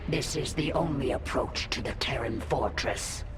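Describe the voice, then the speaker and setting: A woman's voice: rumbling low, measured pace, music in the background. An actress voicing a proud alien brood-mother warrior in a sci-fi game, speaking formally and solemnly to her queen. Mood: grim. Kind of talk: scripted sci-fi game voice acting.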